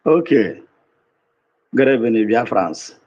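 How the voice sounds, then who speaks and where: A man calling into a live audio chat room, speaking respectfully and unevenly, rushing at times and then slowing down. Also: medium pitch, big pitch swings, a little mellow. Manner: respectful greetings, uneven rushed and slow pace. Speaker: caller. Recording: lo-fi online audio stream.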